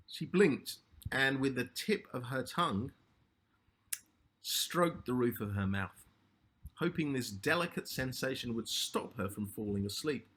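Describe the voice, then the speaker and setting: A man narrating a literary short story as its author, in measured, deliberate phrases with a slightly husky voice. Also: medium pitch, animated, a bit bright, slight background noise.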